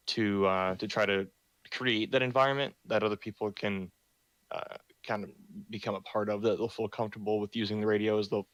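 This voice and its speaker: Young man leads the community meeting, low and monotone, reading the rules plainly with frequent fillers. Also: no room sound, low-quality recording. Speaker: meeting host for a roleplay community.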